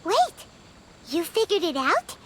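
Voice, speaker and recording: A woman voicing a tiny, childlike, excitable fairy companion in a video game, with a squeaky voice and an exclamatory, wide-eyed manner. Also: slow pace, noisy background, exaggerated voice. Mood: curious.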